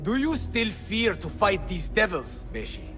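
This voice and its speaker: Fierce male rebel, proud, defiant, highish voice, very expressive, game music behind. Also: measured pace, full character voice. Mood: furious, defiant. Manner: defiant, heated. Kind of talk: dramatic video game acting.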